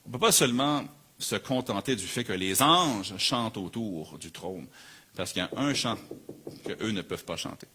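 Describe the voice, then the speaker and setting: Quebec guy pastor giving a sermon, really low voice but bright and punchy, earnest, repeats phrases for emphasis. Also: animated, normal speed, husky. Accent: Quebec French. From Canada. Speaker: pastor preaching.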